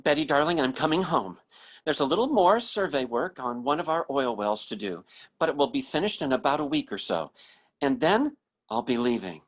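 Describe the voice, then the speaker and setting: Guy acting as lovesick young man reading love letters, old radio play style, really animated, stammery and sappy. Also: medium pitch. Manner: pleading, halting, sentimental, repeats himself. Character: lovesick, earnest young oil surveyor writing love letters. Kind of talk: 1940s radio drama acting.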